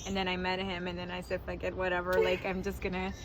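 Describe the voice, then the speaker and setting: A woman's voice: lowish voice, monotone, fast, noisy background. Candid, laughing woman being interviewed. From Mexico. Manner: candid, laughs often, casual swearing. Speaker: wedding planner. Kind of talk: casual interview answers.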